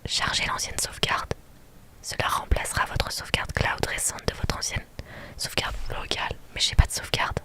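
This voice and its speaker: Young woman whispering softly, ASMR style. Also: normal speed. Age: young adult. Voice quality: soft, breathy whisper. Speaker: live streamer playing a story game. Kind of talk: ASMR whispered read-aloud and casual chat with live viewers.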